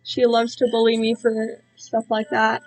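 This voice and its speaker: A woman's voice: on the high side, completely flat delivery, a little slow, rough recording. A woman chatting casually to viewers on a live stream, rambling and self-deprecating, easily drifting off track. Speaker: streamer reading own fan-written work to viewers.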